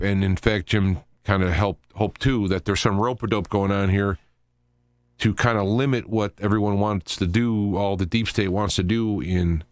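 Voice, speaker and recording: A man hosting a live talk radio show, giving unscripted commentary in a rambling, halting way with stops, starts and digressions. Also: deep voice, no room sound, studio quality.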